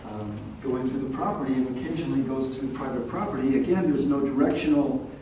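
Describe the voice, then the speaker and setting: An older man who is a longtime local resident, speaking softly and haltingly at a community meeting. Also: mid-range voice. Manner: soft-spoken, rambling with pauses. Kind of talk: public comment at a community meeting. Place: large echoey meeting room. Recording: echoey room, distant mic, faint hiss.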